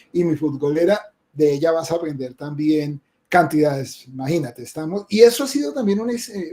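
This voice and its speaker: A Colombian man hosting a webinar over a video call, a game streamer who chats casually and tags his remarks with quick asides. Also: high-pitched, mellow.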